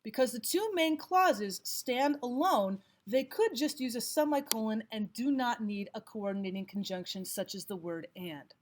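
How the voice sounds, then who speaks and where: A woman teacher giving scripted instructional narration, explaining and reading examples out deliberately. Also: a little high, expressive, slight background noise. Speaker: language arts teacher.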